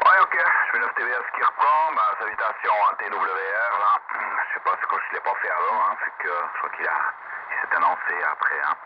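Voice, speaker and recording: A man's voice: lowish voice, brisk. A man with a Swiss French accent talking casually as an amateur radio operator over shortwave. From Switzerland. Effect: shortwave radio transmission. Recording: muffled, very noisy shortwave radio reception.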